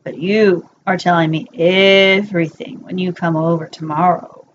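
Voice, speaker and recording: A woman's voice: on the low side, fairly even intonation, moderate pace, close to an everyday voice. Woman reading as a teasing, bossy teenage girl, lo-fi. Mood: teasing, insistent. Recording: dull lo-fi home recording, faint noise.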